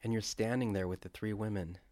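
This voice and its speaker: A man leads a guided prayer meditation in a slow, hushed voice that stays low and level. The recording is close and dry. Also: steady tone, no room sound. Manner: slow, hushed, imaginative guiding.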